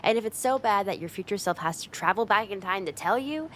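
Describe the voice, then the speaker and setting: A woman's voice: medium pitch, lively intonation, fairly quick, a little hiss or hum, natural voice. A woman playing a tough but secretly caring delinquent girl in an ASMR roleplay, talking bluntly and teasingly to the listener. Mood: stern encouragement. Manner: blunt, teasing, streetwise, with hesitant softening.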